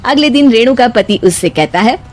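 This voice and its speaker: A woman reading scripted narration for an animated moral story. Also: on the high side, normal speed.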